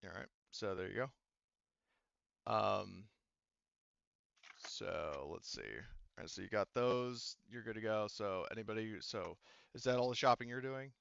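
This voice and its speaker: A man running a tabletop game as game master in casual talk, explaining rules in a halting, rambling way full of asides. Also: on the low side, creaky, a bit bright, no room sound, muffled recording.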